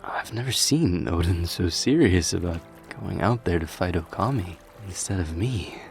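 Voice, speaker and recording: A man's voice: low voice, expressive, normal speed, vocal fry, faint music behind. A man playing a courteous, reflective warrior king in an ASMR audio roleplay, speaking formally and politely with some hesitation. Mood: pensive, uneasy.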